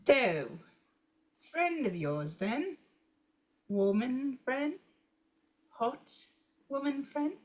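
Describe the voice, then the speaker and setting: Woman playing cheeky, lively, nosy young companion. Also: high-pitched, drawn-out words, pauses often, lo-fi sound, exaggerated voice. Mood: teasing, prying. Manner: playful, prying. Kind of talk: script table read.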